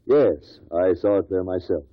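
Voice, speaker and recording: An actor playing an evasive, defensive murder suspect on the witness stand in a 1950s radio drama. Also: male voice, deep voice, a little slow. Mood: guarded. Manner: defensive, indignant. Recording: muffled vintage 1950s radio recording.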